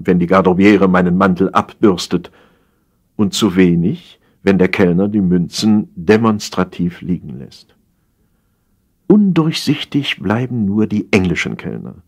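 A man narrating a humorous satire as an audiobook reader, in a wry, theatrical storytelling manner with drawn-out emphasis. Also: sits low, breathy edge.

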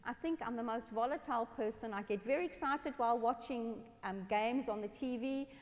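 A woman from South Africa, a pastor telling personal stories in a lively sermon on a church stage. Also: a little high, fairly quick. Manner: animated, expressive, gestures while speaking. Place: large dark church stage. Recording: long church hall reverb.

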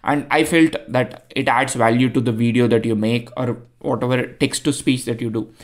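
A man's voice: medium pitch, fairly even intonation, moderate pace. A young man with an Indian accent, explaining a coding tutorial in a chatty way with many fillers and restarts. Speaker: tutorial host. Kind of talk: screen-recorded coding tutorial.

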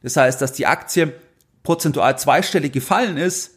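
This is a man presenting a podcast on his own. His voice sits a little higher than most men's. He explains things directly to the listener, often repeating a phrase to underline it. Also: moderate pace. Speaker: finance podcast host.

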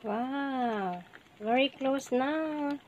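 A woman's voice: sits high, a little mellow, dull audio, a little hiss or hum. A woman with a Filipino English accent, casually narrating her home cooking to viewers, filmed on a handheld phone. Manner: slow, chatty, speaking to viewers as she cooks. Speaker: home cook and vlogger. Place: home garden and kitchen.

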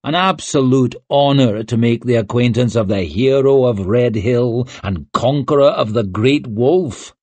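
A man voicing a vain, flattering male writer who speaks in flowery, grandiose phrases. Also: medium pitch, a little slow, hardly any pauses, dry recording, natural voice. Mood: pompous.

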